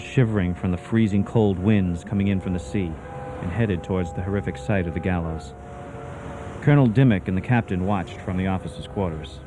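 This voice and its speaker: A man's voice: low-pitched, noisy background. A man narrating a ghost story for radio theatre in a grave, portentous, scripted style.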